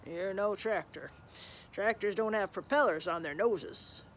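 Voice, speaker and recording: A woman voicing a blunt, matter-of-fact tractor in a storybook, speaking flatly and dismissively. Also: medium pitch, measured pace, slight background noise, lightly acted.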